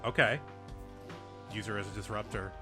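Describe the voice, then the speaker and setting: A man's voice: fairly low pitch, steady tone, normal speed, music in the background. A man hosting a casual game recap in plain, short, encouraging sentences. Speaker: host and player.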